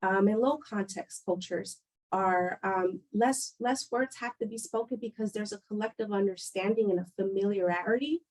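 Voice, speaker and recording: Woman, panel moderator, measured explanations, frequent fillers, mid-pitched voice. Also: darkish tone, rough recording. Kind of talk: panel discussion.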